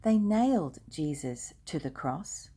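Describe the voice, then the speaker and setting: Slow, gentle older woman reading a story. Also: low voice, animated, breathy edge. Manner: slow, gentle and hushed, dropping to near whispers. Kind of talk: reading a children's storybook aloud.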